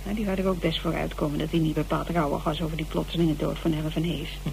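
A woman's voice: deep voice, level tone, fast talker, gravelly, darkish tone. A woman playing an eager assistant investigator in a radio drama, speaking colloquially and emphatically. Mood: eager, pleased. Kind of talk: naturalistic Dutch radio drama dialogue. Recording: noisy radio-play recording.

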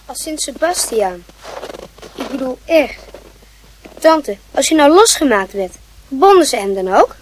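A woman's voice: sits high, expressive, a little slow, long pauses, a little hiss or hum, low-quality recording. A woman playing a curious, insistent twelve-year-old boy in a radio play. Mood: earnest, questioning.

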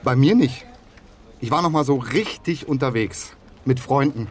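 A man's voice: medium pitch, normal speed, a little hiss or hum, close to an everyday voice. An actor playing an awkward, eager young male trainee, hesitant, chatty and over-polite, in a naturalistic radio crime drama.

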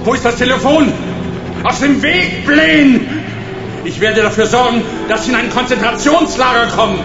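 An actor playing a menacing Gestapo major, shouting commands shrilly in a radio drama parody of an old war film. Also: male voice, very high-pitched, moderate pace, vocal fry, roomy sound, lo-fi sound, over music. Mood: furious.

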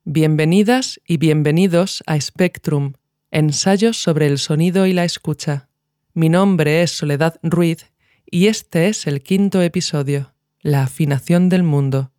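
A woman narrates a scripted essay as podcast host in a measured, literary way. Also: deep voice, dark tone, no room sound, studio quality.

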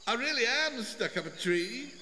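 A man voicing a playful elephant in a children's storybook, calling out plaintively in thin, drawn-out falsetto. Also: animated, sharp tone, heavily acted. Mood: stuck, plaintive.

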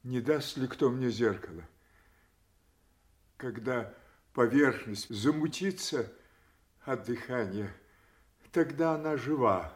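A man's voice: mid-range voice, lightly acted. An actor playing a grief-stricken old king. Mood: stunned grief. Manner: anguished, slow, swinging from whispers to cries. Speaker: actor in radio play. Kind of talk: classic stage radio acting.

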